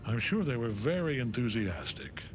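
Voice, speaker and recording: An actor playing a cold, commanding, weary older general in a fantasy game, measured and disdainful. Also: male voice, medium pitch, wildly swooping pitch, music in the background. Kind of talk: dramatic fantasy game acting.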